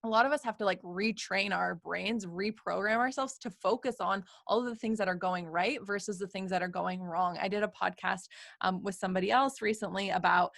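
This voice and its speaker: A woman's voice: mid-range voice, a little fast, gravelly, a bit bright, no echo. Upbeat woman podcast host, dry sound. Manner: upbeat, encouraging, frequent "right?" tags. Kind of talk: casual interview chat. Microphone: video call.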